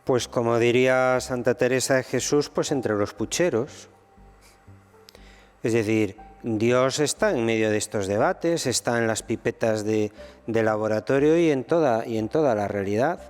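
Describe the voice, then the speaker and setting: A man speaks as a theologian guest on a discussion panel, stumbling through restarts and fillers. His voice sits in a middle pitch. A long hall reverb trails behind him, with faint music in the background. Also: normal speed, darkish tone. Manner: hesitant, many restarts and fillers.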